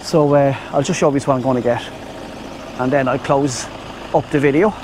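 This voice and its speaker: A man's voice: mid-range voice, moderate pace, noisy background. Chatty Irish man vlogging outdoors. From Ireland. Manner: rambling, chatty, addresses viewers as "my friends". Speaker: travel vlogger. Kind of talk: casual travel vlog to camera. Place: outdoors in Thai countryside. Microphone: lavalier mic.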